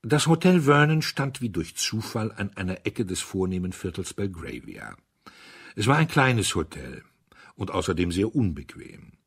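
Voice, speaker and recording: Male audiobook narrator, low husky voice, measured and dryly ironic. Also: sing-song. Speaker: audiobook reader. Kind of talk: scripted literary narration.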